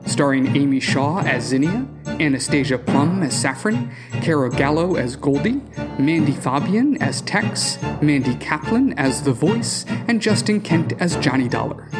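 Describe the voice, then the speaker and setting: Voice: mid-range voice, lively intonation, unhurried. An announcer reading scripted end credits for an audio drama. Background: loud guitar music.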